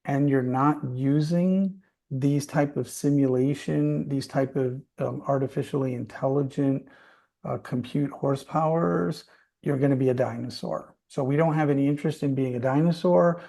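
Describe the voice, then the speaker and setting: A man's voice: mid-range voice, normal speed, gravelly, warm tone. A man speaking as a company executive, answering questions off the cuff on an earnings call and repeating phrases for emphasis.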